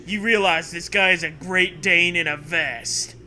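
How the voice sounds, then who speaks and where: A man narrates a comic story in a fairly high, very sharp voice. He takes his time and drops into irreverent casual banter, with faint noise behind him. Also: measured pace. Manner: playful, irreverent. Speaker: co-host reading a gamebook aloud.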